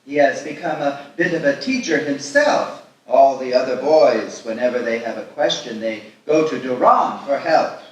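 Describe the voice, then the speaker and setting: A man's voice: mid-range voice, animated, normal speed, hardly any pauses, lo-fi sound, lightly acted. A man playing a kindly older rabbi, proud and protective, speaking formally in a live screenplay table read. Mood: proud, warm. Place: live festival event, echoing room. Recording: echoey hall from far off, faint noise.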